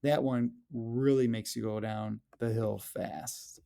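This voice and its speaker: A man reading a picture book aloud to young children in an animated, playful way, with exaggerated questions and exclamations addressed straight to them. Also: mid-range voice, takes it slowly, gravelly. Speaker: elementary school educator reading aloud.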